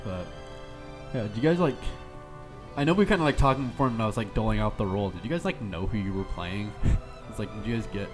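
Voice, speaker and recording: A man's voice: on the low side, quick pace, long pauses. Man explaining casually over music. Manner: rambling, explanatory. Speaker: host of a radio-drama group. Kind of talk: casual behind-the-scenes group chat.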